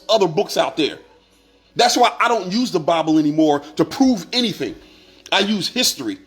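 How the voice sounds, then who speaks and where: A man's voice: high-pitched, slightly rough. Fired-up man preaching to viewers. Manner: preachy, repetitive, rising to shouts. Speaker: online commentator. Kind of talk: impassioned monologue to viewers.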